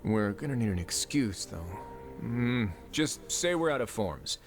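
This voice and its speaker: A man voicing a composed, wry prison warden in an anime-style game, speaking in a measured, deliberate way, with music behind him. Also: mid-range voice, very animated. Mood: calm, wary. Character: composed, dry-witted male prison warden in authority.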